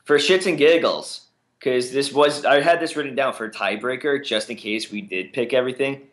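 A man co-hosting a casual podcast, chatting and making predictions in a relaxed way with frequent fillers. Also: medium pitch, moderate pace. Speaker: podcast co-host. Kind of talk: casual wrestling podcast chat.

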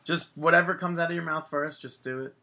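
Man with a sharp, bright voice, playful ribbing co-host on a casual podcast. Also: a little high, fairly even intonation, fairly quick. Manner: teasing, playful. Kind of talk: casual wrestling podcast chat.

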